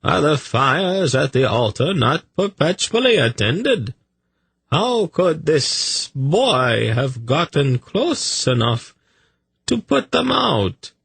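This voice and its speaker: Man acting oily, high-lifted fawning servant. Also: very animated, slow, vocal fry, a bit bright, slightly rough, no echo, heavily acted. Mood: indignant protest. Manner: fawning, protesting. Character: oily, obsequious man pleading before his master.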